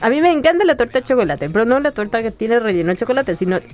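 A woman's voice: fairly high pitch, sing-song, very fast, darkish tone, faint music behind. Chatty Paraguayan woman radio host. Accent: Paraguayan Spanish. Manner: chatty and playful, laughs often. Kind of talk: casual radio chat reading listener messages.

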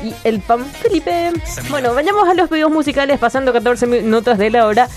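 A woman's voice: high-pitched, a little fast, faint music behind. A woman with a Paraguayan Spanish accent hosting a radio show, chatting playfully and reading listener messages. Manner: chatty and playful, laughs often. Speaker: radio host.

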